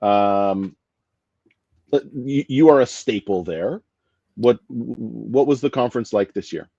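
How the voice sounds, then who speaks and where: A man's voice: mid-range voice, slow, creaky, mellow, no room sound. A man hosting a podcast, chatting casually and joking, with many restarts and fillers. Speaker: podcast host. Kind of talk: casual interview chat. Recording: dry, dull lo-fi sound.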